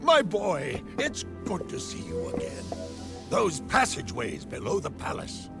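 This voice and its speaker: An actor playing a kindly, earnest old sage in a video game, fatherly and expressive with a gravelly voice. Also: high voice, a little slow, full character voice. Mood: urgent warmth. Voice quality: creaky, gravelly. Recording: loud music behind the voice.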